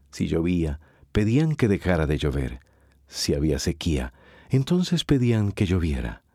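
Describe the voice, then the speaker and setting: Deep, mellow male storyteller. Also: no echo, studio quality. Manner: measured, storytelling. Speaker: narrator. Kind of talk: scripted radio drama narration.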